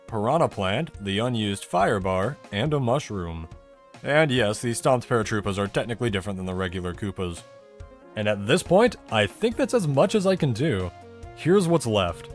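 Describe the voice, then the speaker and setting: Man narrating a technical explainer, animated intonation. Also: fairly low pitch. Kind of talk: scripted explainer narration with casual asides.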